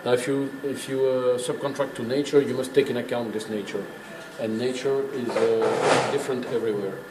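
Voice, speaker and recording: A man guides a tour with an emphatic, didactic delivery. He explains informally but with firm opinions. The sound is roomy and echoing, with clear background noise. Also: medium pitch, steady tone, normal speed. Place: indoors in a working brewery.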